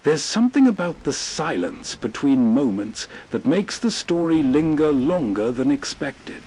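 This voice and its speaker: A man delivering slow, atmospheric scripted narration as a narrator. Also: on the high side, big pitch swings, mellow, slight background noise.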